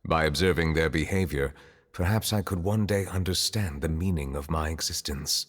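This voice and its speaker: An actor playing a solemn, reserved man of high office in a video game, speaking in a formal, measured, reflective way. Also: big-room sound. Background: music.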